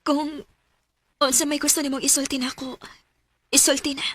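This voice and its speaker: An actress with a Cebuano Filipino accent playing an anxious, devoted young woman in a radio drama, speaking softly and pleadingly. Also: high-pitched, flat delivery, moderate pace. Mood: sad, anxious. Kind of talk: Bisaya radio drama acting.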